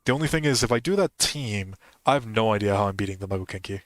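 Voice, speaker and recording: A man's voice: on the low side, animated, fast talker, no room sound. A man giving casual gameplay commentary as a gaming host, thinking aloud and correcting himself as he goes.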